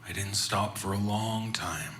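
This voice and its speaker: An actor playing a shaken male survivor, telling his ordeal in a halting, emotional way for a horror audio drama. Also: lowish voice, slight background noise. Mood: haunted, grieving. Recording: loud music under the voice. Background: loud music.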